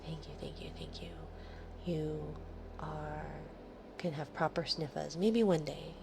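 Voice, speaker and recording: Soft-spoken nasal woman, low and whispery. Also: level tone, unhurried, noisy background. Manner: soft, drifting into breathy whispers between chatty asides. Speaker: livestream host. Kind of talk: casual late-night stream chat with soft whispering. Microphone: streaming microphone close up.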